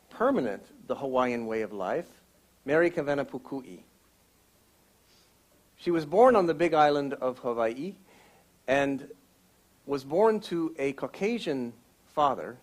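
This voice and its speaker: A man speaking from the front of a church, giving a Sunday message in an explanatory, teaching tone. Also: a little high. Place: church sanctuary.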